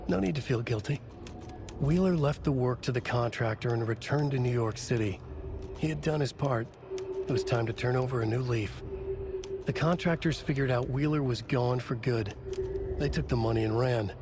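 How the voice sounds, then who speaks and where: A man playing a brooding narrator, telling a dark mystery tale in terse, measured sentences for a game. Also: medium pitch, background noise, natural voice. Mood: wry, measured. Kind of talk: scripted literary narration.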